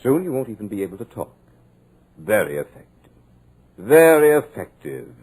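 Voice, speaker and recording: A man's voice: lowish voice, very animated, normal speed, a little mellow, slightly rough. A man playing a sinister male hypnotist villain in an old radio drama, silky and taunting. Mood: gloating. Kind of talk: 1940s radio drama acting.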